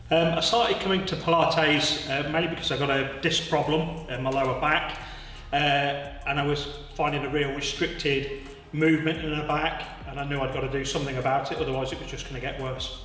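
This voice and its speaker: A man's voice: fairly high pitch, steady tone, brisk, a bit bright. Man, 50s, testimonial; easygoing, distant mic in a reverberant hall. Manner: casual, explanatory, with "uh" fillers. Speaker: class member giving a testimonial. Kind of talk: interview testimonial. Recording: echoey hall, from far off.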